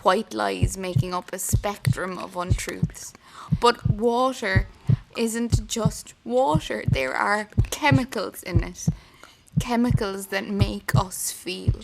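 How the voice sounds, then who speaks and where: An Irish actress playing an unhinged, haunted young woman confiding in her diary in a horror audio drama, halting and often slipping into whispers. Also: medium pitch, heavy background noise. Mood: brooding, bitter. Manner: slow, halting, breathy whispers breaking into intensity.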